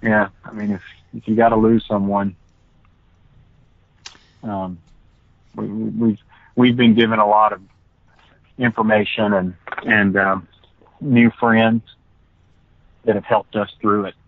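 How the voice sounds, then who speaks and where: A tired man with a raspy voice, speaking halting and earnest, with many fillers, as a guest. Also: lowish voice, level tone, no echo. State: tired, late at night. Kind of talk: casual conversation. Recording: tinny phone line.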